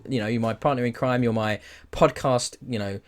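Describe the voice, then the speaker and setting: A man's voice: medium pitch, steady tone, fairly quick. Blunt man hosting a podcast. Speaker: podcast host. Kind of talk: casual gaming podcast chat.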